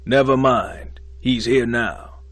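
A man voicing an excitable, blustering middle-aged male college head who scolds and shouts in a frantic, demanding way. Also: mid-range voice, slow, no room sound. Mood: alarmed.

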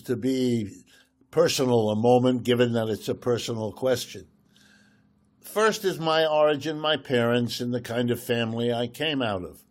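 An older man, a professor, speaking in a slow, measured, lecturing way in a radio interview. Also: mid-range voice. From United States, Ohio. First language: German. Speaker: guest economist.

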